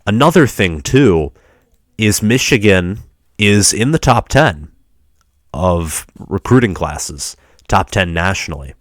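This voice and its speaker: A man's voice: low-pitched, lively intonation, slow, no echo, clean studio sound. A young man with a stuffy, congested voice, chatting casually as a fan about college football recruiting. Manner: chatty fan talk with restarts. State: congested from a cold. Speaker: sports vlogger.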